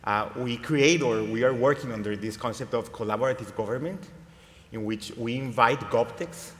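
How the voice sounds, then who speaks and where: A man's voice: mid-range voice, normal speed, fairly bright tone. Colombian man on a panel. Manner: earnest, repeats words while searching. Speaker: panelist, innovation lab director. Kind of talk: conference panel talk. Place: large conference stage. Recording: long hall reverb.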